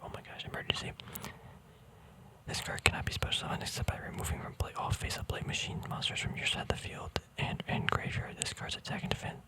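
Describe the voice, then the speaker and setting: A man speaks entirely in a hushed whisper, as in an ASMR video. He rambles casually through a show-and-tell, musing and hesitating, often letting a thought trail off before picking up the next. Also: fast talker, poor audio. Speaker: ASMR video creator showing a trading card deck.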